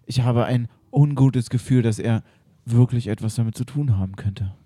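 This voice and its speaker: A man's voice: mid-range voice, moderate pace, dark tone, no echo, natural voice. A man voicing an earnest male museum curator who speaks a little preachily.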